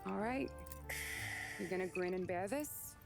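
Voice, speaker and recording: A woman's voice: mid-range voice, measured pace, gravelly, music in the background. An actress playing a tough but tender young working-class city woman, wry and frank, in a cinematic period game. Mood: wry, caring.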